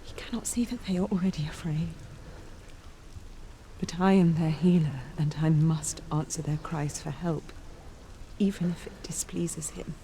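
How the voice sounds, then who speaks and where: An actress playing a gentle female healer in a video game, speaking in a hushed, breathy, earnest and tender way. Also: low-pitched, steady tone, measured pace, pauses often, slight background noise. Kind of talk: dramatic video game acting.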